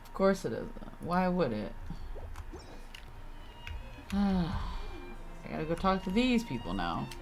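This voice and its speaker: An exasperated streamer talks through a video game in a casual, grumbling way, with a medium-pitched voice and some swearing. Music plays behind the voice, along with the clatter of typing. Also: sing-song, normal speed. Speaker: livestreamer playing a video game.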